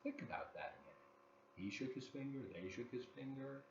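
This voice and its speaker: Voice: medium pitch, fairly quick. A children's story reader reading a picture book aloud expressively, with dramatic emphasis and asides to young listeners. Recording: roomy, dull lo-fi, faint noise.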